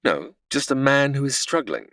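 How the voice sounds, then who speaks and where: Deep-voiced, exasperated angel boss. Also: female voice, big pitch swings, moderate pace, a bit bright, no echo, clean studio sound, exaggerated voice. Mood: impatient. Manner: formal, impatient, sighing. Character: stern, exasperated superintendent of angels, male. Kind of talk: comedy audio drama.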